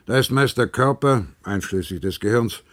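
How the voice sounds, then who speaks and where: A male actor playing a blunt, patronizing older professor of medicine in a German radio play, with a husky voice. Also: on the low side, normal speed, fairly bright tone, no echo, studio quality, lightly acted. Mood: matter-of-fact, lecturing. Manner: patronizing, pragmatic, lecturing.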